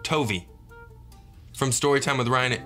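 A man's voice: mid-range voice, unhurried, fairly bright tone, faint music behind. A man in his thirties, a children's read-aloud host, trading comic banter in a theatrical, playfully obtuse way. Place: small indoor studio set.

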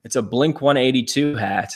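Guy in his 30s on a couch, upbeat, showing thrift stuff, mid pitch, camera across the room. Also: moderate pace. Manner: upbeat, shows items to camera. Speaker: reseller livestream co-host. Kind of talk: casual livestream chat. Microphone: fixed camera across the room.

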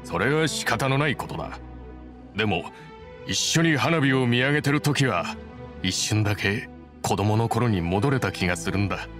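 A man's voice: mid-range voice, moderate pace, fairly bright tone, soft background music, close to an everyday voice. An actor playing a modest, dependable craftsman in an anime game, speaking in a casual, sincere and plain-spoken way. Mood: wistful. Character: modest, dependable adult male boat builder in anime game.

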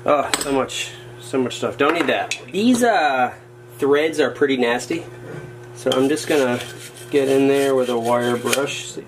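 A man of middle pitch chats his way through a build at a wooden workbench, muttering half to himself and poking fun at his own slip-ups. His talk is loose and unscripted, with a faint hiss of noise under it. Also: unhurried. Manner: chatty, muttering to himself as he works, self-mocking asides. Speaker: hobbyist showing a workshop project. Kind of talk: casual how-to narration while working. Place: indoors at a wooden workbench or floor. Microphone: camera framed on his hands over the work.

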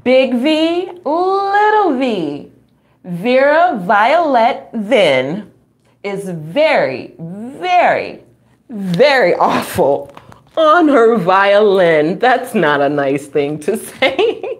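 A middle-aged woman reading a children's book aloud at home, expressive and playful, with chatty asides to young listeners. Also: fairly high pitch. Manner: expressive, playful, drawn-out reading, frequent chuckles and gasps. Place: small room at home.

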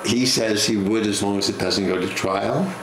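An older man tells a personal story in a low voice, often halting and repeating himself. The studio recording is roomy, with clear noise behind him. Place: indoor TV studio.

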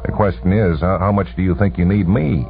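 A wiry, cynical soldier of fortune as played by a male narrator in a radio story. He talks in a sardonic, halting way, pitched up from the reader's bass. The sound is tinny and band-limited, with music behind. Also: steady tone, creaky. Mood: cool, bargaining. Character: wiry sour cool-headed male mercenary gambler.

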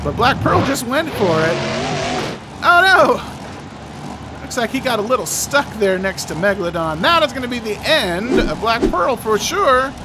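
A commentator giving excited play-by-play of a video game, with exclamatory, drawn-out interjections. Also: mid-range voice, noisy background.

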